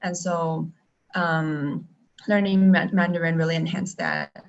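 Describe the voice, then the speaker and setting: A woman's voice: low voice, steady tone, unhurried. A young woman chatting casually on a live video call, with lots of ums and restarts. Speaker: traveling teacher and guest. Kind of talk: live-stream video chat. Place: home in Taiwan. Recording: dull lo-fi video call audio.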